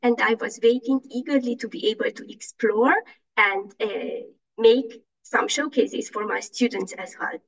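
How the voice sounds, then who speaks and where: A woman's voice: a little high, a little slow, vocal fry, distant mic, dull audio. A woman giving a conference talk over slides on a video call, hesitant, with many fillers and restarts; German is not her first language. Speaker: conference presenter.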